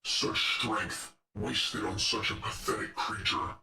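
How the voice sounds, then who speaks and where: A man's voice: fairly low pitch, steady tone, slow pace, gravelly, pushed and bright, far from the mic, heavily acted. A man voicing a menacing, arrogant robot henchman in a video game, fiery and sadistic, with taunting, condescending lines. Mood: contemptuous. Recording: echoey room, off the microphone.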